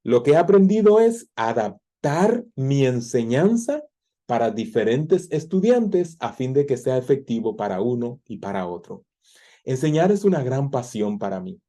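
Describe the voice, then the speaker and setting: A man in his forties with a Dominican Spanish accent, his voice mellow and soft-edged. He talks earnestly and a little haltingly, restarting phrases. He introduces himself as a tutor into the camera of his home teaching office. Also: medium pitch. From Dominican Republic. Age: 40s. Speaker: language tutor. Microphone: computer camera.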